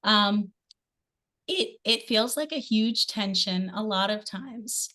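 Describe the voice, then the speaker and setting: A hoarse woman taking part in an online discussion, speaking hesitantly with many fillers. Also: mid-range voice, slow, bright, forceful tone. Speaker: participant. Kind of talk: discussion question.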